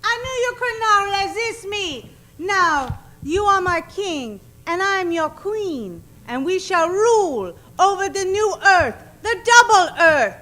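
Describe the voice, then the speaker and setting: Seductive space queen villain, extremely high, sharp, slow and grandly melodramatic, radio comedy. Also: very animated, exaggerated voice. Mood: triumphant, seductive. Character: imperious, seductive clawed alien villainess queen. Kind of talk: comic old-time radio acting.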